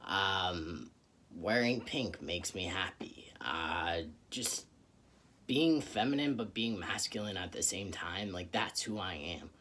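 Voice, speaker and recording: Young male vlogger, low and forceful voice, earnest and thoughtful, pauses a lot. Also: expressive. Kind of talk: reflective personal vlog.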